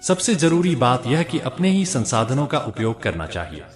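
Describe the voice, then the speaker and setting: Male storyteller for scripted moral tales, animated pitch movement, mid-range voice, music underneath. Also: moderate pace. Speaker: storyteller and channel host. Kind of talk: scripted moral folk-tale narration.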